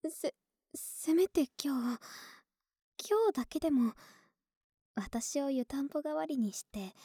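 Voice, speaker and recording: A woman playing a clingy, sweet young neighbor in an ASMR voice drama, soft and breathy, often whispering, intimate and coaxing, close to the mic. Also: high voice, slow pace, no room sound. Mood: tender, sleepy. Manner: intimate, affectionate, hesitant and coaxing. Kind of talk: Japanese ASMR situation voice drama. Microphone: close, dry ASMR microphone.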